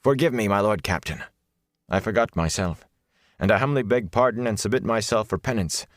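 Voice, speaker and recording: A man's voice: lowish voice, brisk, no room sound, clean studio sound, pitched above the performer's own voice, close to an everyday voice. A man voicing a merciless, zealous soldier in an audiobook, hard, rigid and formal in manner. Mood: contrite, insistent. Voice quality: flat, hard. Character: zealous, merciless male soldier, outwardly deferential.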